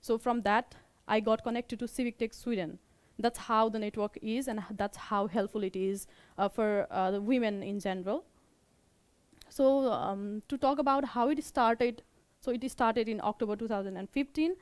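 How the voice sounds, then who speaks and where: A young woman with a Nepali accent gives an informal meetup presentation as an organizer, in a halting way, often repeating and rephrasing her words. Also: a little high, clean tone, no room sound.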